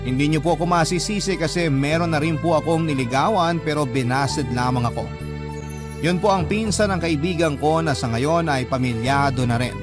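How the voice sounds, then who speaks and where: Slightly high male voice, man playing heartfelt young boyfriend narrator, Filipino accent, sincere, respectful, clear background music. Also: fairly quick, close to an everyday voice. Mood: fond, sincere. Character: devoted, heartbroken young man narrating his love letter. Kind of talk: first-person letter narration in radio drama.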